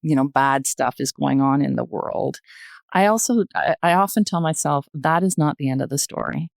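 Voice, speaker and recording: A woman's voice: sits low, moderate pace, vocal fry, no echo, studio quality. An older woman in a reflective podcast discussion, speaking emphatically in searching phrases and often checking in with "right?". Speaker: podcast guest, retired journalist.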